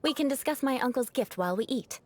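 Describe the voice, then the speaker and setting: Haughty, elegant lady knight with lively intonation. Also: sits high, fast talker, soft background music. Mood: composed, formal. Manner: formal, refined, theatrically stern. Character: haughty elegant young woman knight, mock-vengeful, secretly caring. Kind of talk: video game voice acting.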